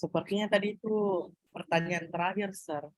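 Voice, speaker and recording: A woman moderating an online class, reading a lesson summary in a hesitant, halting way with many fillers. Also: mid-range voice, fairly even intonation, a little mellow, no room sound, poor audio. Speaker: student moderator.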